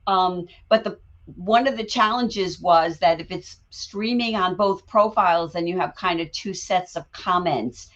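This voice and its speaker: Woman co-host of a live talk show, warm and chatty, slightly muffled sound. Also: lowish voice, measured pace. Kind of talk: casual chat.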